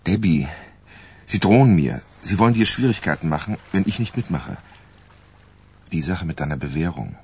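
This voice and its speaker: Blunt wry writer type, radio crime drama, really raspy lowish voice. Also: male voice, lively intonation, moderate pace, long pauses, a little mellow, full character voice. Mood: earnest. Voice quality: husky. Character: Artie, a blunt, wry, unruffled male writer.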